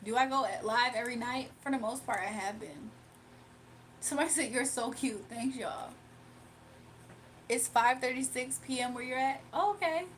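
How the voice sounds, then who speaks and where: A woman's voice: on the high side, normal speed, fairly bright tone, a little hiss or hum. A woman chatting casually on a live stream from her phone, reading viewer comments aloud and laughing often. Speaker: livestreamer.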